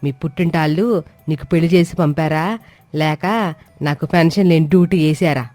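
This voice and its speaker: A woman's voice: sits low, steady tone, brisk, darkish tone, no echo, lightly acted. A woman voicing a domineering, sarcastic older mother-in-law in a cartoon moral story, scolding with pointed rhetorical questions. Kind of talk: acted dialogue in an animated moral story. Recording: music behind the voice. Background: music.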